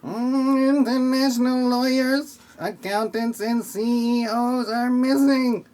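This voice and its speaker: Woman playing a slow, humming newsreader. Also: a little high, steady tone, darkish tone. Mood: pompous. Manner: punctuates reports with little hums. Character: self-important female newsreader, humming between reports. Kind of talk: mock news report in amateur audio play.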